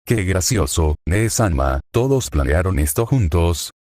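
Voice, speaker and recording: A man's voice: low voice, lively intonation, measured pace, a little mellow, no echo, studio quality. Man reading as a joking young knight. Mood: playful. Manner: joking, overfamiliar. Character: cheerful, clownish young male knight.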